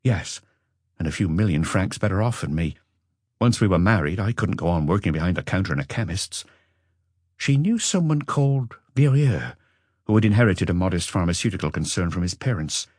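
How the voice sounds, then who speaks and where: A man voicing an educated Frenchman who looks back on a passionate marriage with regret, in a measured, confessional audiobook reading. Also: deep voice, expressive, a little mellow, no room sound, studio quality. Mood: candid, resigned. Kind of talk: audiobook character dialogue.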